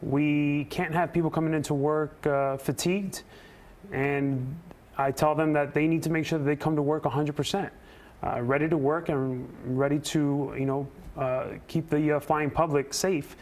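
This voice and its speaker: A man speaking as a union representative in a news interview, earnest and emphatic, with deliberate phrasing. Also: on the high side, steady tone, darkish tone. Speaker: air traffic controller and union representative, interview guest. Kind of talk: live news interview answers.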